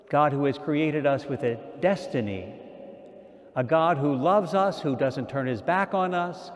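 Sixties male archbishop for a measured pulpit homily, unhurried, reverberant sanctuary. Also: on the high side. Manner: slow, measured preaching with repeated phrases. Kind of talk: sermon. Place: large church sanctuary, indoors. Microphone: stand mic at pulpit. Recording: long church reverb.

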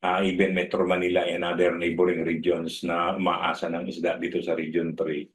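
Deep-voiced middle-aged official on a video call. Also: male voice, completely flat delivery. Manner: halting, mixes in English, many fillers and restarts. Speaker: regional fisheries director. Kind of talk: interview answers. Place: indoor office. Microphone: video call webcam.

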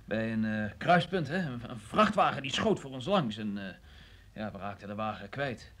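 A nervous rookie agent in a radio play. His medium-pitched voice bobs around as he hesitates and stammers, deferring to his superior. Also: lively intonation, normal speed, fairly bright tone. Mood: nervous, apologetic.

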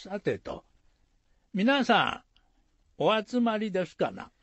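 Genial village headman, high male voice, very animated delivery, radio drama. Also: takes it slowly, no echo, heavily acted. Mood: easygoing.

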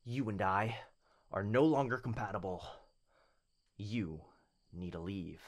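A man acting as a devoted young boyfriend in an ASMR roleplay, tender, intimate and unhurried yet firm. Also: on the low side, expressive. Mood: calm resolve.

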